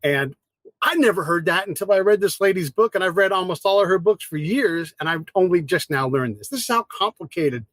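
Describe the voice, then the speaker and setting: A man hosts a podcast, his voice a little higher than most men's. He talks casually and with energy, and the recording is dry and close. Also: lively intonation, a little fast, fairly bright tone, dry recording. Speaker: podcast host. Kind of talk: podcast conversation.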